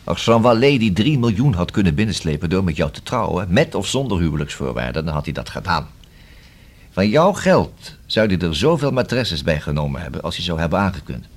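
Probing police inspector, radio play: low husky voice, blunt yet courteous, widely varied intonation. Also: male voice, sing-song, brisk, heavily acted. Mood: explaining, intent. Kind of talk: Dutch radio drama dialogue.

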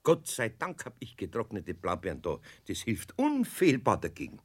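An old master carpenter with a Bavarian accent, scolding in a dry, fatherly way. His voice is somewhat husky, with lively rises and falls. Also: on the low side, moderate pace, exaggerated voice. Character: kindly older male master carpenter, gruffly patient. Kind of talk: 1970s radio play acting.

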